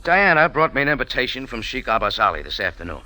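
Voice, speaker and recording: A man's voice: medium pitch, very animated, quick pace, pushed and bright, no room sound. An American man playing a wisecracking, world-weary hero in a hardboiled old radio drama, sardonic and clipped. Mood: weary explaining. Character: wisecracking, tough, world-weary cafe-owner adventurer hero. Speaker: lead actor and narrator. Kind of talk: 1940s-50s hardboiled radio drama narration and acting.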